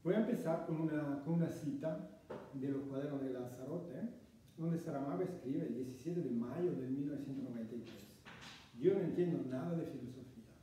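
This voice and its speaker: A man from Italy giving an academic lecture in Spanish, measured and reading from notes, recorded from far off in a small lecture room. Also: mid-range voice, warm tone, heavy reverb, slight background noise. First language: Italian. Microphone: far camera.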